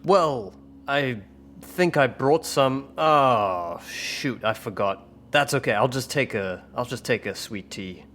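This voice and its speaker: A man's voice: medium pitch, lively intonation, slow pace, a bit bright, a little hiss or hum. A man playing a friendly, tactful investigator questioning someone in a tabletop roleplaying game. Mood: friendly, casual.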